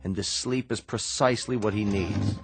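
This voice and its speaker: An actor in a radio drama playing a stern, sardonic medical researcher who speaks in a measured, commanding way. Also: male voice, fairly low pitch, fairly even intonation, gravelly, slightly rough, faint music behind, full character voice. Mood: grave, explanatory.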